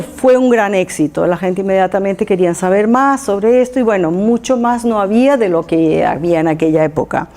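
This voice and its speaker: A woman who is not a native speaker, giving an informal public talk and often halting to search for words. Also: mid-range voice, darkish tone. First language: not Spanish.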